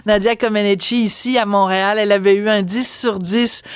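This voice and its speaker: A woman with a Québécois French accent hosting a radio interview, chatty and teasing, quick to laugh. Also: medium pitch, steady tone, clean tone, no room sound, clean studio sound. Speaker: radio host.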